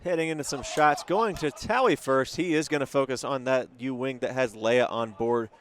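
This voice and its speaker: A man commentating a tabletop game live at a convention center, his voice loud and carrying. He calls the dice rolls briskly, in a mid-pitched, everyday tone. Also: slight background noise.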